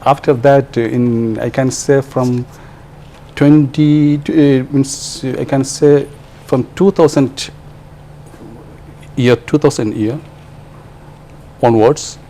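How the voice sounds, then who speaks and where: A man's voice: medium pitch, steady tone, slow, long pauses, dark tone, a little hiss or hum. A man from Kerala with a Malayali English accent, a translator answering interview questions hesitantly with many fillers and restarts; his first language is Malayalam. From Kerala, India.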